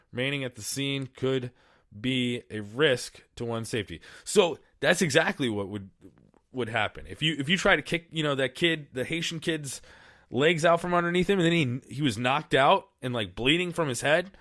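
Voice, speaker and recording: A man's voice: medium pitch, fairly bright tone, no echo, studio quality. A man hosting a solo podcast, reading aloud and then riffing in a casual, profane, rambling way. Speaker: podcast host. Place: small studio.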